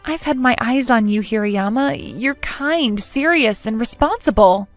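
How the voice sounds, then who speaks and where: A woman's voice: fairly high pitch, animated, a little slow, faint music behind. A woman playing a sociable but nervous, lovesick schoolgirl in a manga dub, with an emotive, hesitant delivery. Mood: nervous confession. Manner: emotive, self-doubting, hesitant. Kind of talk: dramatic manga dub narration and dialogue.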